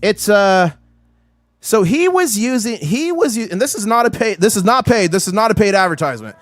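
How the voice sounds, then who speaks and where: A man hosting a casual livestream, his voice hoarse, talking with excitable hype-man energy. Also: high-pitched, fairly quick, no room sound, soft background music. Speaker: livestream host.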